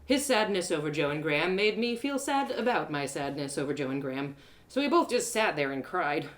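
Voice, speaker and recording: Expressive woman storyteller with lively pitch. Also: a little fast. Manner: theatrical, emotive. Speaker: storyteller, audio drama author-performer. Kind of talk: expressive first-person story narration.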